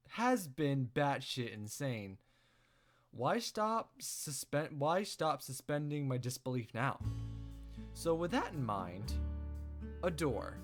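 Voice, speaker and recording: A man hosting a casual playthrough, reading a visual novel aloud with expressive storytelling. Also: on the high side. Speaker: let's play host. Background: game music.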